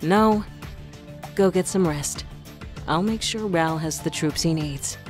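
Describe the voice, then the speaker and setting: A woman playing a weary, cautious, pragmatic adviser in a fantasy audio drama, speaking in a measured, insistent way. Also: lowish voice, a little mellow, soft background music. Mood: resigned, cautious.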